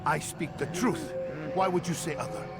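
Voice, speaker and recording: A man's voice: a little high, moderate pace, loud music behind the voice, natural voice. An actor playing an earnest male Templar who insists pleadingly on his cause. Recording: game score under dialogue.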